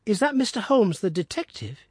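A man doing an excitable teenage boy. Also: fairly quick, husky, no room sound, studio quality, heavily acted. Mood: curious. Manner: breathless, high, gushing. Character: excitable frail teenage boy, eager and fervent.

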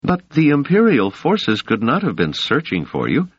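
An earnest, protective woman who blames herself and presses her point, as a male audiobook narrator puts her on. The voice is lifted and softened, swinging widely in pitch. The recording is dry and a little dull. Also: huge pitch swings, moderate pace, husky, no room sound. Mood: alarmed.

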